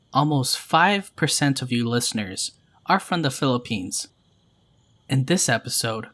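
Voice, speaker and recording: A man hosting a storytelling channel, reading scary stories in a calm, measured way. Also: medium pitch. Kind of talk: scripted narration of scary stories.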